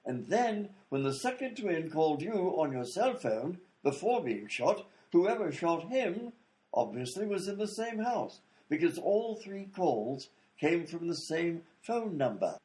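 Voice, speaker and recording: A man's voice: a little high, big pitch swings, measured pace, a little mellow. A man playing an eccentric, long-winded male inventor in a comedy radio play, explaining things fussily with lots of "you see". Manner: explains at length with fussy fillers like "you see".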